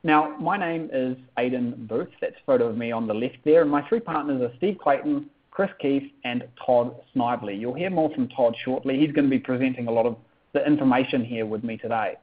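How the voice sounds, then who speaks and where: A man's voice: medium pitch, fairly quick. A man in his thirties with a New Zealand accent, hosting an upbeat sales webinar and often tagging lines with "okay?". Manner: upbeat, keeps asking for chat replies, tags lines with "okay?". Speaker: webinar presenter. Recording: tinny phone-like audio.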